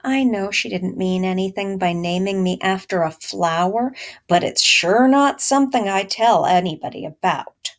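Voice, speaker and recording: A woman's voice: medium pitch, moderate pace, lightly acted. A woman reading a storybook as a plainspoken, wry young boy remembering his mama. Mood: recollecting.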